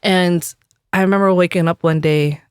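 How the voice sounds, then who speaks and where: A woman's voice: lowish voice, fairly even intonation, moderate pace, smooth, no room sound, studio quality. A woman in her forties from the United States, a religious sister telling her personal story in an interview, earnest and reflective.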